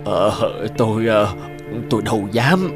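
A man's voice: lowish voice, lively intonation, unhurried, over music. A man voicing a flustered, guilt-ridden middle-aged feng shui master in a folk-tale cartoon, hesitant and stammering. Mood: uneasy, apologetic.

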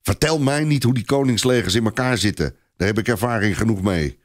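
A man voicing a gruff, indignant knight commander. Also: fairly low pitch, a little fast, lightly acted. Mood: angry, bitter. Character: furious blustering Breton knight, proud veteran commander.

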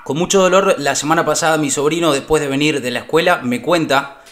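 Casting: young man as an earnest, wronged Argentine uncle, higher voice, halting and over-formal, for a prank phone call. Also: heavy background noise, lightly acted. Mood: solemn, polite.

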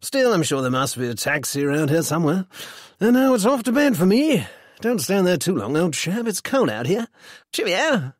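Reader putting on young English toff, breezy, offhand, raised pitch, lively swings. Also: normal speed, no room sound, studio quality. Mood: breezy, unconcerned. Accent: English upper-class. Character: Mungo, breezy, unbothered upper-class young English man.

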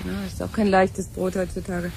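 A woman's voice: fairly low pitch, monotone, normal speed, vocal fry, darkish tone, a little hiss or hum. An actress playing a plain-spoken, friendly, slightly wry married woman in a 1970s German radio drama. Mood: friendly, chatty. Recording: 1970s radio play with music behind.

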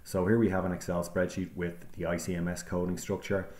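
A low-pitched male instructor narrates a screen-recorded software lesson. He moves through each click calmly, pausing on ums as he explains. It sounds like a desk mic in a quiet room. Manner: calm step-by-step explaining with frequent ums.

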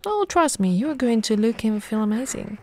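A woman playing an affectionate, teasing lamia girlfriend in an ASMR roleplay, soft and cooing, often slipping into whispers. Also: a little high, very animated, normal speed. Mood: playful, wry.

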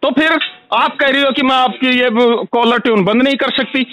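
Angry high-pitched man ranting. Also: fairly even intonation, normal speed, bright, forceful tone. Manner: angry, insistent, ranting, repetitive. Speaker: complaining customer calling a helpline. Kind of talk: heated phone complaint. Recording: phone call recording.

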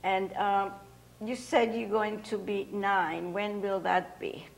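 A woman's voice: mid-range voice, steady tone, slow pace, vocal fry. An older woman, a Hungarian native speaker, hosting a casual studio talk show and chatting with guests, laughing often, on a lapel mic. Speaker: host. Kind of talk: casual interview chat. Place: TV studio set.

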